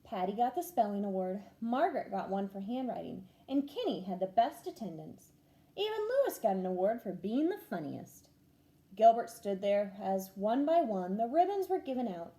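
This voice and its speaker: A woman teacher reading a children's storybook aloud in an expressive, clearly paced way, acting out the dialogue. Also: mid-range voice, normal speed, poor audio.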